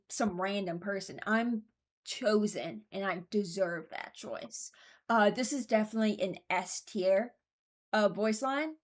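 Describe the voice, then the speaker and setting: Casual, mock-serious woman, frank and hesitant. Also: medium pitch. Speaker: YouTuber ranking game voice lines. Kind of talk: casual rambling commentary.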